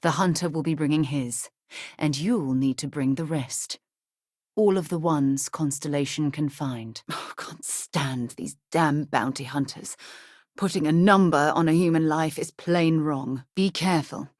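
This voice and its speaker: A woman's voice: low voice, unhurried, a little mellow, no room sound, studio quality. A woman playing an earnest, principled space explorer and leader in a video game, speaking in an articulate, composed way with formal phrasing. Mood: strained, wary. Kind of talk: video game character voice acting.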